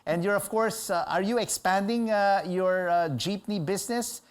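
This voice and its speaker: A woman's voice: mid-range voice, moderate pace. A woman hosting a news interview, asking questions in a chatty way with many hesitations. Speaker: news show host. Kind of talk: broadcast interview.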